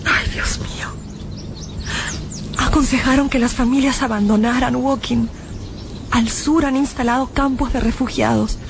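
An actress playing a tender, anxious wife in a radio drama, with a warm, emotional way of speaking over music. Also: female voice, a little high, fairly even intonation, measured pace, gravelly, pauses often, noisy background. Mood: shaken relief. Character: tender, anxious wife relieved her husband survived. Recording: music bed behind the voice.

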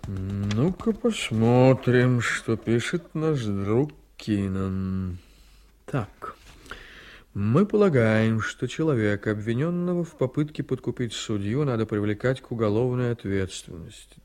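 Sardonic, deliberate man played by an actor. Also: mid-range voice, expressive, a little mellow, close to an everyday voice. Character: sardonic man scrutinising a hostile newspaper editorial. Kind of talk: Soviet radio drama acting, reading aloud.

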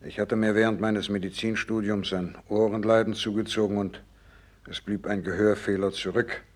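An actor playing a gravely ill but resolute doctor in a 1960s German radio play, speaking in an earnest, measured, insistent way. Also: male voice, fairly low pitch, flat delivery, close to an everyday voice.

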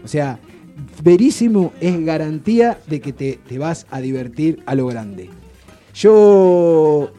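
A man talks on a radio show in a loose, rambling way, restarting his sentences as he goes. His voice is deep-toned and very dark, a little higher than most men's. Soft music plays faintly behind him. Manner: rambling, chatty storyteller with many restarts. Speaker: radio guest presenting authors. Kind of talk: casual radio chat.

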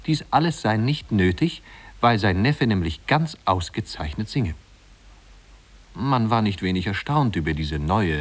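An actor playing a measured, gently ironic storybook narrator in a 1950s-style German radio play. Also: male voice, low voice.

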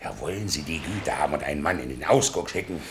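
Bullying sea captain, very deep voice, sarcastic, noise behind. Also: male voice, expressive, quick pace. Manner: sarcastic, commanding. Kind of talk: 1970s radio play acting.

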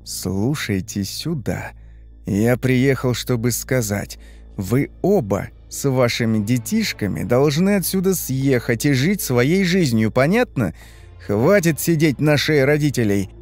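Guy doing a fed up defiant man, snapping, animated delivery, audiobook. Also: mid-range voice, moderate pace, soft background music, voice pushed up, lightly acted. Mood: firm, commanding. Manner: irritated, snapping, emphatic. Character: exasperated, defiant man confronting his freeloading relatives.

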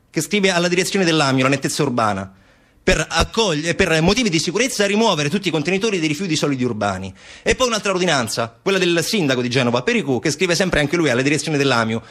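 A man's voice: fairly high pitch, fairly bright tone. A man performing a satirical theatrical narrative monologue as actor-narrator, ironic, with short declarative phrases and rhetorical questions.

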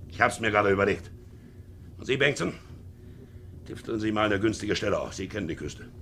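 Shady middle-aged ship's captain, low creaky voice, callous, muttering, old radio drama. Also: male voice, expressive, fairly quick, a bit bright. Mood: brooding, resolute. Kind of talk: 1950s radio drama acting. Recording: hissy 1950s radio recording.

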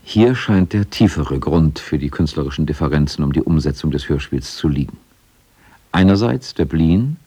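A man reads documentary narration in a deep voice with a husky grain. The sound is dark and relaxed, and the delivery is scripted radio feature style.

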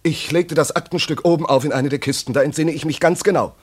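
An actor playing a harried wartime German inspector in a radio play, flustered and brusque. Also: male voice, fairly high pitch, fairly even intonation, quick pace, husky. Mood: weary, recollecting.

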